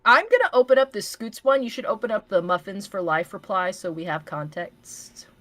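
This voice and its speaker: A woman's voice: medium pitch, lively intonation, moderate pace, fairly bright tone. A woman co-hosting an online show in casual chat. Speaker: co-host reading fan fiction.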